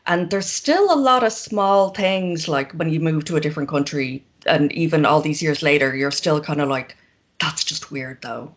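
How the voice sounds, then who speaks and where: A woman's voice: sits low, moderate pace. An Irish woman chatting as a guest, rambling and self-deprecating, laughing often between thoughts. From Ireland. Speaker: guest zine maker. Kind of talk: casual video-call interview.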